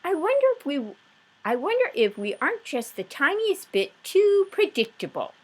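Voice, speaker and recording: A woman voicing an excitable, doting married woman from a children's storybook, with a gushing, tender manner. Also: high-pitched, normal speed, pitched above the performer's own voice. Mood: wistful.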